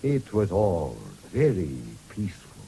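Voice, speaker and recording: A man's voice: on the low side, very animated, dark tone. An actor playing a patient old farming grandfather in a radio drama, with a rural English accent and a soothing, coaxing manner. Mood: soothing storytelling. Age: older. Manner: soothing, coaxing, drawn-out delivery. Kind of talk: BBC radio drama acting. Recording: faintly noisy old radio broadcast.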